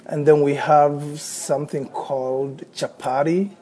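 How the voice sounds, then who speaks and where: A man's voice: fairly high pitch, steady tone, takes it slowly, mellow. A man in his thirties from Somalia with a Somali accent, reading a short passage aloud and then talking freely, often hesitating and repeating words. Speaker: accent archive speaker.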